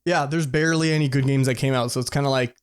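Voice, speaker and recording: A man's voice: mid-range voice, steady tone, fast, clear, resonant tone. A man co-hosting a podcast in casual, slangy, opinionated banter about video games. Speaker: podcast co-host.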